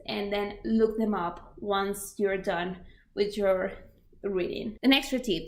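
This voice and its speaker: A young woman with a Spanish accent chats through language-learning advice in a mid-range voice, leaning on "like" and "um" as she works through examples. The room around her is noticeably echoey. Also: medium pitch. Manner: chatty, filler-heavy, explains with examples. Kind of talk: casual instructional talk.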